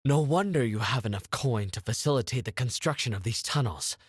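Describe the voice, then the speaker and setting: A man voicing a wary, curious adventurer with a husky voice in a visual novel game. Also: medium pitch, animated, fairly quick, no echo, clean studio sound. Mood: incredulous, curious.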